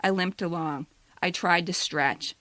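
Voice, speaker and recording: A woman's voice: fairly low pitch, measured pace, pushed and bright, no echo. A woman in her fifties reading a scripted personal essay as a podcast co-host, with a candid, wry, self-deprecating manner. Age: 50s.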